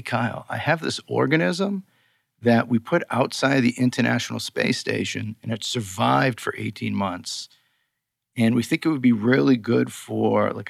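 A man's voice: mid-range voice, creaky, dry recording, clean studio sound. A man with a Boston accent, a company co-founder explaining science in a casual interview, peppered with "you know". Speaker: skincare company co-founder, guest.

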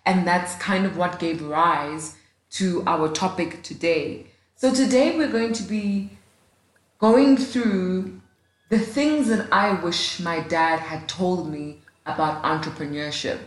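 A woman's voice: on the low side, takes it slowly, echoey room, rough recording. A woman in her late twenties hosting her own podcast alone at a mic, chatty and upbeat with self-deprecating humor. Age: 20s. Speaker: podcast host. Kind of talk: casual solo podcast talk. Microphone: studio mic, alone in a room.

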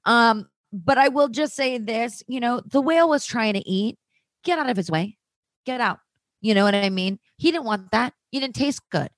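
A woman's voice: a little high, animated, normal speed, no room sound. A young woman guest on a podcast, chatting in a playful, rambling way and giggling often. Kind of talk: casual podcast chat.

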